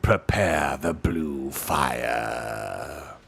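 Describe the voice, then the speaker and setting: A man voicing a grim werewolf in a storybook, in a grey, hushed voice with very slow, drawn-out words. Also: a bass voice, creaky, slightly rough, voice pushed down, full character voice. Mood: menacing.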